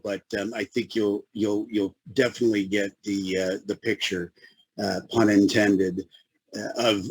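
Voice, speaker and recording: A man with a Chicago accent giving a webinar presentation as a consultant, with a radio-style voice and a halting manner full of fillers and restarts. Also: lowish voice, husky, dry recording, low-quality recording.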